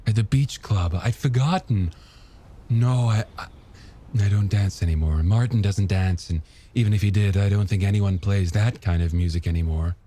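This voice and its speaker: A man's voice: on the low side, normal speed, mellow, slight background noise, close to an everyday voice. A man voicing a wistful, nostalgic married woman in an audiobook, reminiscing with some hesitation. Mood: hesitant. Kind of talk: audiobook character dialogue.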